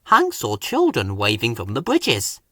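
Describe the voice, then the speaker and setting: A man narrates a children's storybook from a script. His pitch moves around a great deal, the pace is slow, and the sound is dry and close. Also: huge pitch swings, fairly bright tone, no echo. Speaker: storybook reader.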